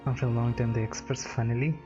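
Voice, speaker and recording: A woman's voice: very deep voice, fairly even intonation, quick pace. A woman gaming streamer giving casual commentary over a playthrough. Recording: dull mic, game music behind.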